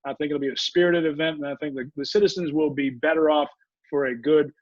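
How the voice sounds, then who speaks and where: An older man hosting a radio talk show, speaking in halting, rambling sentences full of fillers. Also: fairly high pitch, fairly even intonation, no room sound. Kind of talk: radio interview chat. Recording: muffled dry audio.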